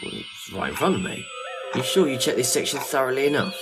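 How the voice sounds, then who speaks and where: A man's voice: medium pitch, sing-song, brisk, over music. A man acting a chatty, jittery, squeamish sidekick in an audio drama. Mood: wry.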